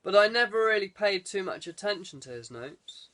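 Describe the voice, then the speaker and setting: A man's voice: high voice, animated, normal speed, a bit bright, pitched above the performer's own voice. A man voicing a decisive, practical male adventurer leading a treasure hunt in an audiobook. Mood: uneasy.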